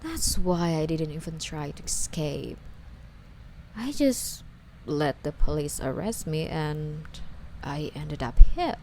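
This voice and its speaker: Obsessive, lovesick young female stalker played by a woman for ASMR roleplay: coy and wheedling, slow, with creaky fry. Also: low voice, animated, warm tone, a little hiss or hum, full character voice. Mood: hurt. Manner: coy, wheedling, hesitant, sighing delivery.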